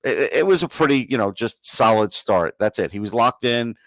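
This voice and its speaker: A man's voice: mid-range voice, expressive, normal speed, no echo, low-quality recording. A man co-hosting a sports podcast, giving casual, opinionated analysis in an emphatic, conversational way. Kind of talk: casual hockey analysis chat.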